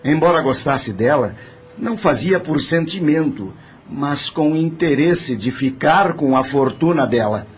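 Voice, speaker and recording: An actor with a Brazilian accent playing a dead, greedy, scheming nephew who confesses in a matter-of-fact, calculating way in an audio drama. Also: male voice, a little high, lively intonation, unhurried, slightly rough, soft background music, exaggerated voice. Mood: coldly confessing.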